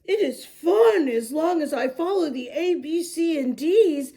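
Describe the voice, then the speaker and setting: Woman voicing a cheerful little elephant boy, high-pitched. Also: expressive, a little slow, mellow. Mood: confident, helpful. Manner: friendly, eager, explaining patiently.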